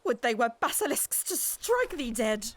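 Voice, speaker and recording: A grieving noblewoman, bitter and full of scorn, in a Shakespearean audio play. The voice is high, and the delivery is formal, theatrical and cutting. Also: big pitch swings, moderate pace, no echo. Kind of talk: Shakespearean audio drama acting.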